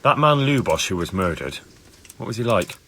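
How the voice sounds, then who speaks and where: A man's voice: on the low side, sing-song, moderate pace, pushed and bright, slight background noise, full character voice. An actor playing a young medieval man investigating a crime in a video game, plain-spoken and inquiring. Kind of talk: video game dialogue acting.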